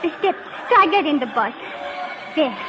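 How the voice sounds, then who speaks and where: A woman's voice: sits high, very animated, unhurried, poor audio. An actress playing a devoted, anxious young Mexican girl with a Mexican accent and simple English in a 1940s radio drama. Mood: urgent, pleading. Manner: pleading, simple broken English. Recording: old radio broadcast recording.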